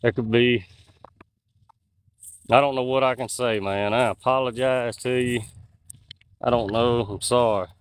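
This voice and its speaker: A man's voice: mid-range voice, fairly even intonation, unhurried, pauses often, slight background noise. A man, chatting casually with viewers while livestreaming on a walk through the woods at night, talking into a phone on a handheld gimbal. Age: 40s. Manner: chatty, folksy, reads out viewer comments. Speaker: outdoors livestreamer. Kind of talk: casual live-stream chat with viewers.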